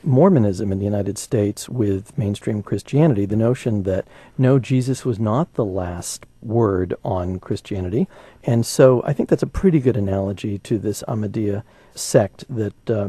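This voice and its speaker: A man gives a conversational explanation, thinking aloud in a halting way. His voice is very dark and soft-edged, a little husky, in a dry close recording. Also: medium pitch, no echo. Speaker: commentator on history.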